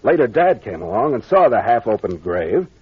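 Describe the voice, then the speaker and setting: An American man playing a brisk, confident captain laying out a solved mystery in 1940s radio mystery style, plain-spoken and authoritative. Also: on the low side, very animated, breathy edge, full character voice. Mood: brisk, explanatory. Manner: expository, authoritative, plain-spoken with gangster slang. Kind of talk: 1940s old-time radio mystery acting. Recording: dull, lo-fi vintage radio recording.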